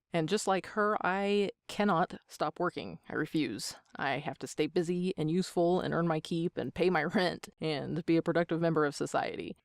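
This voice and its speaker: A woman's voice: deep voice, creaky, no echo, clean studio sound. A woman in her thirties answering interview questions as an amateur voice actor, with a joking, self-deprecating manner. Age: 30s.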